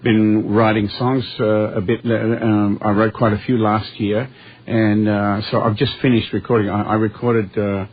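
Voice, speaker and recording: Animated male storyteller on lo-fi radio. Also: fairly low pitch, moderate pace, breathy edge. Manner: animated storyteller, chuckles through anecdotes. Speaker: guest musician. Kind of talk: casual radio interview. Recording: dull lo-fi radio sound.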